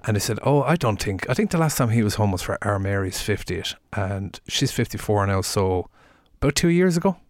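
A man's voice: fairly low pitch, animated, brisk, hardly any pauses, no room sound. An Irish man in his forties, a guest comedian and firefighter, chatting on a radio show in a studio and telling rambling stories that stop and restart. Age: 40s. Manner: rambling, self-interrupting storyteller. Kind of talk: casual radio chat.

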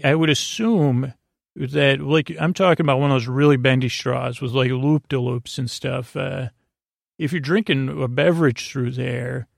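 A man hosting a sleep podcast with a goofy, rambling bedtime monologue, haltingly trailing off and doubling back. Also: mid-range voice, creaky, no room sound, clean studio sound. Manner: whimsical, goofy.

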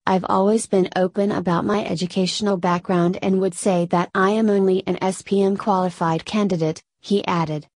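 A woman reading a news feature aloud in an even, steady delivery. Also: medium pitch, no echo. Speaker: news reader.

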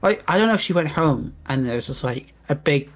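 Man, breathy voice, relaxed storyteller, casual swearing, muffled lo-fi podcast audio, faint music. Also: a little high, a little fast. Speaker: podcast co-host. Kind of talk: casual chat.

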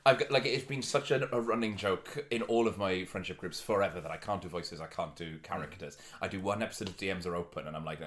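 A man's voice: low voice, fast, few pauses. A man with a Welsh accent, a native Welsh speaker, chatting casually and emphatically as a comedian. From Wales. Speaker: comedian, podcast guest.

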